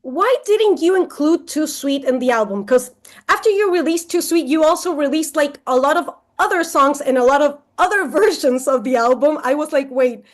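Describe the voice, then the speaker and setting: Female interviewer, high-pitched, informal and playful conversational read. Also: lively intonation, normal speed. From Chile. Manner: playful, chatty. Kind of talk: casual interview chat.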